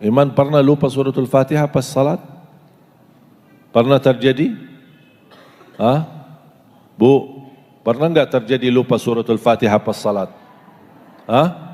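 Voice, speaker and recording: A male preacher addresses a live gathering in a middle-pitched voice. He asks the audience questions and recites lists slowly and deliberately. A long reverb trails each phrase, as in a large hall. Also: darkish tone. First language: Arabic.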